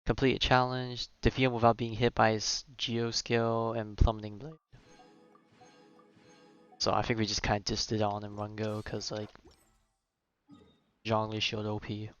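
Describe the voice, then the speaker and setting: A man giving casual live-stream commentary on a video game, thinking out loud and reading game text in muttered asides. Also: on the low side, monotone, normal speed, pauses often, clear, resonant tone, highs cut off, faint music behind.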